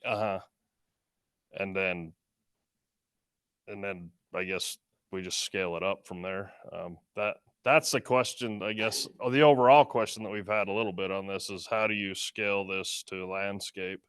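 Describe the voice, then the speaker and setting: A man with a loud, smooth, somewhat low voice asks a follow-up question in a meeting. His tone is clear and resonant. The recording is dry. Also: fairly even intonation, normal speed, no room sound. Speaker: meeting participant. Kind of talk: meeting discussion. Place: conference room.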